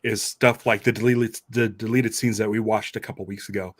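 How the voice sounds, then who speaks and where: A man's voice: fairly low pitch, level tone, brisk, no echo, clean studio sound. A man co-hosting a podcast in casual, conversational fan chat. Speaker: podcast co-host.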